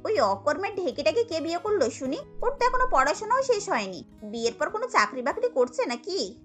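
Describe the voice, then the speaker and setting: A woman's voice: very high voice, big pitch swings, fairly quick, fairly bright tone, faint music behind, full character voice. A woman voicing a nosy, mocking young friend in a cartoon, gossipy and prying. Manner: teasing, prying.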